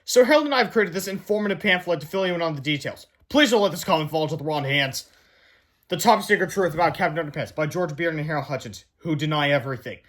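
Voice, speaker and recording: High-voiced man reading a children's book aloud. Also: lively intonation, fast, fairly bright tone. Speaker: read-aloud reader.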